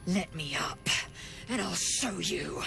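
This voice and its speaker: A woman's voice: on the low side, animated, slow pace, creaky, over music, full character voice. An actress playing a fierce, proud alien warrior woman in a video game, speaking in a clipped, haughty, formal way. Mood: defiant, scornful.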